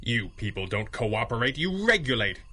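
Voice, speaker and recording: An actor playing a cocky, sardonic masked hero in a 1930s-style radio drama, with a dry, flippant, wisecracking delivery. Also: male voice, medium pitch, big pitch swings, normal speed, bright, forceful tone, husky, heavily acted. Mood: dry, defiant.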